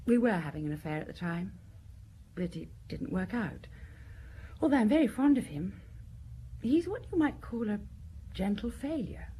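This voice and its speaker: An actress playing a candid, composed secretary under questioning in an audio drama, hesitant and confiding, sometimes dropping to a breathy near-whisper. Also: female voice, medium pitch, expressive, moderate pace, pauses often, dark tone, slight background noise, close to an everyday voice. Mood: wistful, candid.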